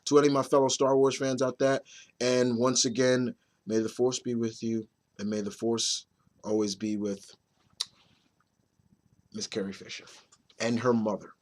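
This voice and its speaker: A man in his thirties talks casually to his viewers as a movie reviewer. His medium-pitched voice is a little raspy, as if run down with a cough. He speaks haltingly, circling back to repeat phrases for emphasis. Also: flat delivery. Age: 30s. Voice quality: slightly raspy. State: mildly under the weather with a nagging cough.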